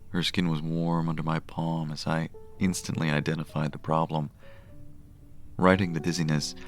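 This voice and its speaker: A man performs a gentle cleric who is quietly smitten, in a romantic fantasy radio play. The voice is very deep and gravelly, kept to a soft, tender murmur. Faint music plays underneath. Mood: shy, tender. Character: gentle, smitten male cleric with healing magic. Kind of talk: romantic audio drama, first-person narration and dialogue.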